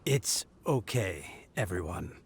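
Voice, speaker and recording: An actor playing a kindly, calm old grandfather in a video game, gentle and reassuring with a creaky voice. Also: low-pitched, slow, a little hiss or hum, exaggerated voice. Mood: gentle, consoling. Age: older. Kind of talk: scripted video game acting.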